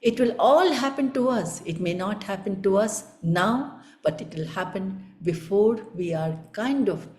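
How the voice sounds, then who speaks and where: Female spiritual teacher, Indian accent, mellow dark tone, earnest and emphatic discourse, roomy echo. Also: medium pitch, lively intonation, unhurried, few pauses. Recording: echoey room.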